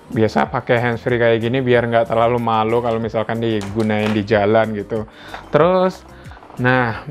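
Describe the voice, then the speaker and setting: Man, Indonesian accent, medium pitch, casual product reviewer, informal and explanatory, faint background music. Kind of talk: casual unboxing narration.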